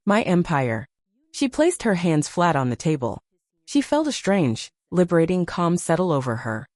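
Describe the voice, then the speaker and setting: A woman's voice: fairly low pitch, very animated, moderate pace, darkish tone, no echo, studio quality. A woman reading a dramatic scripted story as an audiobook narrator.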